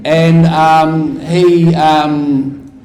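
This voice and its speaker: A man's voice: on the high side, flat delivery, extremely slow, darkish tone. A man with a New Zealand accent giving a conference lecture in a hall, repeating phrases for rhetorical effect and quizzing the audience with questions. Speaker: guest lecturer. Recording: echoey hall.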